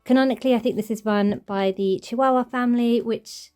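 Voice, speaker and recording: Chatty woman with a mellow, cold-worn voice. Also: on the high side, steady tone, a little fast, clean tone, faint music behind. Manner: chatty, enthusiastic, gushing over tiny details. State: slightly off voice while recovering from a cold. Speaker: toy collector channel host. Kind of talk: casual show-and-tell collection update.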